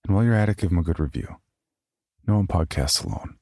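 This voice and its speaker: A man hosting a horror podcast, reading its notices and then narrating a scary story in a deliberate, ominous way. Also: low voice, animated, a little mellow, no room sound.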